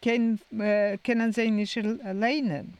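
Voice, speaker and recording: A man's voice: high-pitched, little pitch movement, unhurried, a loud crowd. A man in casual conversation who speaks hesitantly, with many fillers as he searches for his words.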